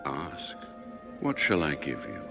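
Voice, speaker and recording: A majestic divine ruler in an audio Bible drama. The voice is very low and unhurried, solemn and full of authority. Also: male voice, sing-song, gravelly, music in the background, exaggerated voice. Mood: solemn, granting.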